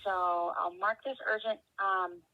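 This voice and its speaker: A dull, muffled phone line carries a woman's creaky voice. She works as a help desk agent, talking through a customer's case in a halting way, thinking aloud as she checks details. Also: mid-range voice, fairly even intonation. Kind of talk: customer service phone call. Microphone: telephone. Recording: dull, band-limited telephone line.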